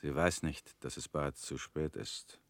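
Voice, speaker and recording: An actor playing a bitter former convict in a radio play, talking in a measured, brooding way. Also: male voice, very deep voice, fairly even intonation, dry recording.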